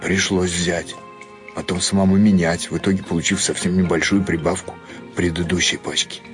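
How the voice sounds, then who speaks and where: Deep-voiced male story narrator. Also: flat delivery, moderate pace, faint music behind. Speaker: narrator reading a short story. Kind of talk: dramatised literary narration.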